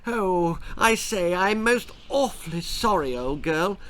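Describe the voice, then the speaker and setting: Posh storybook schoolboy, acted by a man: British, hearty, exclamatory, bright, swooping pitch. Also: animated, slow, exaggerated voice. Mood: apologetic. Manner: plummy, exclamatory 1950s adventure-book chumminess. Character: hearty posh schoolboy older brother, breezily apologetic.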